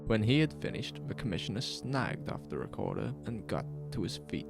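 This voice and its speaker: A man narrating a horror story in a measured, dramatic, suspenseful way, in short phrases. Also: fairly low pitch, huge pitch swings, vocal fry, over music.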